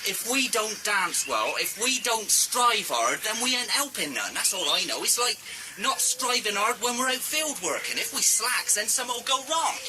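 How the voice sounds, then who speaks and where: An actor playing an eager, earnest young village lad with a rural southern English accent in a radio play. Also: male voice, high voice, sing-song, moderate pace, over music, rough recording.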